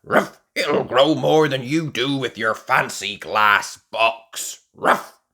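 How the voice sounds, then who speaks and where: The narrator voicing a boisterous old male dog in a children's storybook, gruff and blustery, barking between phrases. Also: mid-range voice, takes it slowly, bright, forceful tone, voice pushed up, exaggerated voice. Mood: indignant.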